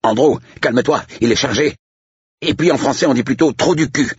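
A man's voice: mid-range voice, a little fast, husky, no echo, highs cut off, pitched above the performer's own voice. A man voicing an anxious, wry, comically chatty male sidekick in an audiobook story. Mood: wry, calming.

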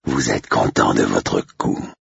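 Man acting a very deep, growling, menacing hero. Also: expressive, vocal fry, a bit bright, slightly rough, no echo, dull audio, heavily acted. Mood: growling contempt. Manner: growling, deliberate. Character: stern, menacing Englishman, slow-spoken and coldly determined.

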